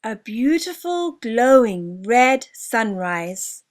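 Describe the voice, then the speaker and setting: A woman author narrating her own children's story in an expressive, deliberate storybook manner, drawing out certain words. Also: a little high.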